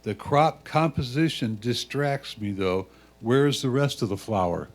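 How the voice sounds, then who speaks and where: A man's voice: medium pitch, a little slow. A man reading a judge's written comments aloud as a critique.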